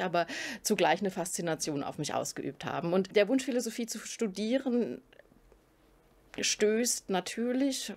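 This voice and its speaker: A middle-aged woman professor from southern Germany, answering interview questions to camera in a studio, thoughtful and often pausing to search for words. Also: mid-range voice, darkish tone. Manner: thoughtful, searching for words, frequent hesitations and restarts. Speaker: philosophy professor. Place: indoor studio before a curtain.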